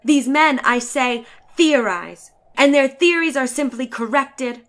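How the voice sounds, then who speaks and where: Woman reading as a snooty chatty lady traveler from a storybook, high voice, very theatrical and wordy. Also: expressive, takes it slowly, fairly bright tone, exaggerated voice. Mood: earnest, mocking. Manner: theatrical, emphatic, ornate and declamatory. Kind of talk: dramatic reading of a short story.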